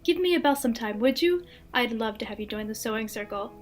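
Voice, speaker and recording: A woman plays a genteel housewife of the 1950s in an audio drama, smoothly forward and flirtatious. Her voice is on the high side, gracious and coy, with faint music underneath. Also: expressive, fast talker, lightly acted. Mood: inviting. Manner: coy, gracious, leading questions.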